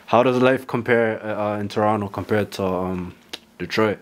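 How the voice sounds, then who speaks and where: A young man with a fairly low voice asks his questions in a relaxed, rambling way, stacking "like" between his words. Between answers he nods along with short "okay, okay" and "facts.". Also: normal speed. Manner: relaxed, many "like" fillers and "okay, okay" backchannels. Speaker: interviewer host. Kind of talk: casual interview questions. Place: small studio room, seated on a couch.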